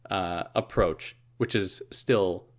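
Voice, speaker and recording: Young guy talking through a book for his podcast, lowish voice, rambly with lots of ums and little laughs. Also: slow. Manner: conversational, many fillers and restarts, occasional chuckles. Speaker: podcast host explaining a book. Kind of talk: casual explanatory monologue.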